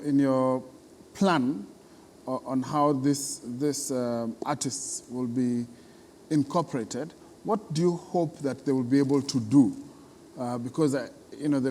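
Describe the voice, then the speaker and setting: A man's voice: medium pitch, mellow. Kenyan male interviewer, echoey noisy hall. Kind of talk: conversational interviewing. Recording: reverberant hall with background noise.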